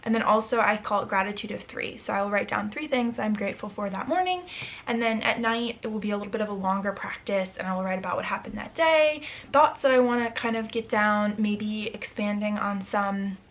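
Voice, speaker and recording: Chatty young woman, slightly hoarse. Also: mid-range voice, fairly bright tone. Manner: chatty, upbeat, with frequent fillers. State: slightly hoarse, losing her voice. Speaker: vlogger. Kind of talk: casual vlog talk to camera.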